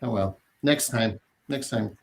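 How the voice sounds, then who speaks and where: A man's voice: lowish voice, a little slow, creaky. A man hosting a casual listening stream about his album, chatty and self-deprecating, laughing often. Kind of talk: casual chat.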